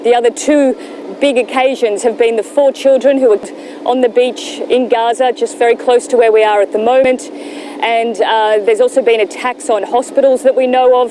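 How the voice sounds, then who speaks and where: A woman news correspondent giving a measured, explanatory live report from location. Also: fairly high pitch, warm tone, slight background noise, low-quality recording. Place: on location in Gaza.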